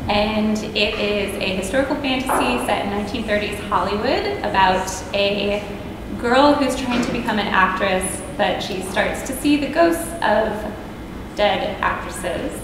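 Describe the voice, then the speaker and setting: A woman author calmly describes her book while seated at a panel table in a large hall. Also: mid-range voice. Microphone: table microphone. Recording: echoey hall with background noise.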